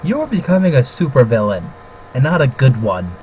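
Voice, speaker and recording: A man with a mid-pitched voice chats casually as a co-host. His manner is teasing and crude, full of short heckles. Background noise is audible around him. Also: huge pitch swings, moderate pace, a little mellow, rough recording. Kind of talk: casual banter.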